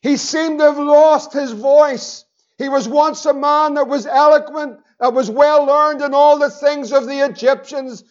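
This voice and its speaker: A Northern Irish man preaching with fervour, his voice sitting unusually high for a man. He repeats phrases and draws words out slowly for weight. The recording sounds dull and lo-fi. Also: steady tone. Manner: fervent preaching, repeating phrases, slowing for emphasis. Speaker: pastor. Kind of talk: sermon.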